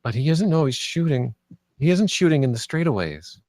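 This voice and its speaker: A determined young team leader read by a man with a highish, very animated pitch. The delivery is urgent and decisive, in a film table read. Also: fairly quick, lightly acted. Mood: firm, urgent.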